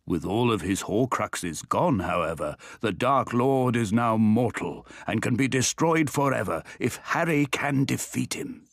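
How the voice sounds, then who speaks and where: A man voicing the dramatic storyteller of a fantasy video game, reading scripted narration in a measured way. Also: mid-range voice, lively intonation, vocal fry. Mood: dramatic, grave.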